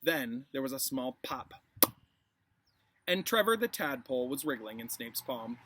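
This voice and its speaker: A man's voice: mid-range voice, very animated, unhurried, fairly bright tone, slight background noise. A man, a classroom teacher reading a story expressively for his pupils, dropping into informal asides. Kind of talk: expressive read-aloud for pupils.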